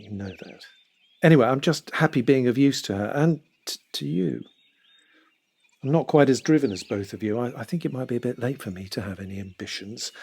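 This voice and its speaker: A man with a British accent playing a genial, self-deprecating house husband of fifty in an audio drama, casual and hesitant with a husky voice. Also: mid-range voice.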